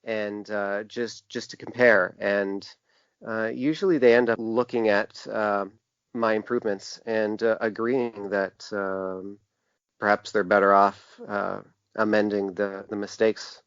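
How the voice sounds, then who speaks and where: A man's voice: fairly low pitch, steady tone, measured pace, fairly bright tone, clean tone, no echo. A man answering interview questions at length in a conversational, hesitant way, as a podcast guest. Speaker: podcast guest, typographer and graphic designer. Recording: dull, lo-fi, dry podcast audio.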